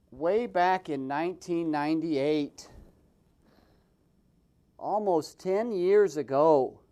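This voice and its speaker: A man narrates a comic puppet story with big swings in pitch. He is theatrical and mocking, and sounds as if he is making it up as he goes. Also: a little mellow. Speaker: host and puppeteer.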